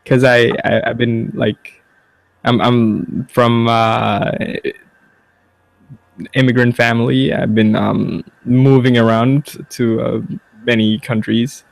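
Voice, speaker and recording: Young guy with a gravelly voice, kinda halting, dry room. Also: mid-range voice, little pitch movement, dry recording, rough recording. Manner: halting, repeats words while searching, swears casually. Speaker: guest. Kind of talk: casual podcast chat.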